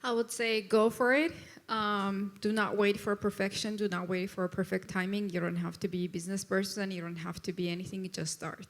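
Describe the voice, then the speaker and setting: A woman's voice: medium pitch, level tone, a little fast, few pauses. Direct, encouraging woman panelist. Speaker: startup founder on a panel. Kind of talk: live panel discussion.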